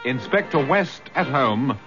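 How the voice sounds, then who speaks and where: A man's voice: mid-range voice, very animated, a little slow. A man reading a scripted closing announcement as a radio announcer. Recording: dull broadcast recording. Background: loud theme music.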